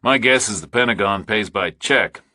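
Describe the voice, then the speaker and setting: A man's voice: on the low side, normal speed, bright, forceful tone, dry recording, voice pushed up. A man reading the part of a shrewd, laconic ex-military investigator in an audiobook thriller, dry, blunt and probing.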